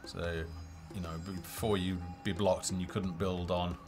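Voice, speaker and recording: A man giving casual, low-key live commentary as a game developer, explaining features as he plays. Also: flat delivery, normal speed. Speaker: game developer presenting a livestream. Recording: music behind the voice.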